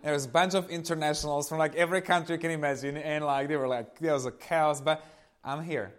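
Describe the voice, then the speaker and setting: A man in his thirties from eastern Slovakia, with a Slovak accent, speaks informally to an audience as a guest giving a personal testimony, telling his stories in an animated way. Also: fairly high pitch, quick pace.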